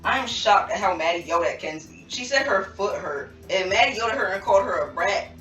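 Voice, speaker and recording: A woman's voice: medium pitch, animated, a little fast, fairly bright tone, faint music behind, natural voice. Woman as a confident dance mom, echoey, distant. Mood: disapproving. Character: confident, defiant dance mom leading the team. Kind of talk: amateur roleplay voice acting.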